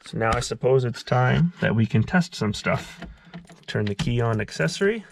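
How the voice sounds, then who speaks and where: A man's voice: medium pitch, expressive, normal speed, creaky, a little hiss or hum. A man narrating a casual hands-on DIY tutorial in a methodical, explanatory way. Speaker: host of a DIY car repair tutorial.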